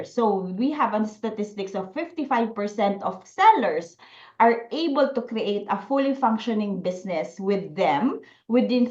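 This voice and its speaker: A woman in her forties from the Philippines gives a friendly online workshop talk, moving between Tagalog and English and checking in with her viewers again and again. Her voice sits a little high. The recording is echoey and a bit muffled. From Philippines, Bicol. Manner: chatty, mixes Tagalog and English, frequent "okay?" check-ins. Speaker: Amazon selling workshop host. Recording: echoey room, slightly muffled audio.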